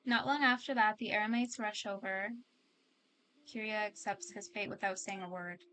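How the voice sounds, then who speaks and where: A woman's voice: mid-range voice, steady tone, moderate pace, pushed and bright, a little hiss or hum. A woman streamer casually reacting to a video game and reading some of its text aloud.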